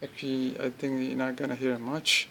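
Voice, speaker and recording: A man with a mid-pitched voice walks through an app in an informal, unscripted way. He builds his sentences haltingly, in short bursts. The homemade recording carries some faint noise. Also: level tone, vocal fry, pushed and bright, poor audio. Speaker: app demonstrator. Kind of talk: informal, unscripted product demo.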